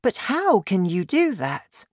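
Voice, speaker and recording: A woman voicing an excitable, determined little girl in a children's story, speaking in an eager, dramatic way. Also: medium pitch, takes it slowly, no room sound, clean studio sound, pitched above the performer's own voice. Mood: eager, curious.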